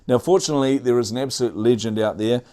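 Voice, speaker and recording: A man's voice: mid-range voice, fast talker, mellow. A man with a New Zealand accent hosting a casual tech news show, chatty, with frequent fillers and dad jokes.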